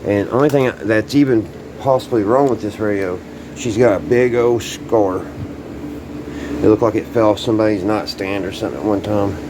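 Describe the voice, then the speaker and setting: A man's voice: lowish voice, moderate pace. A man giving a casual hands-on demonstration to camera as a hobbyist, talking in an informal, folksy way and thinking aloud as he works. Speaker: hobbyist showing restored vintage radios. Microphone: handheld camera, sometimes set down farther away. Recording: loud background hum and ambient noise.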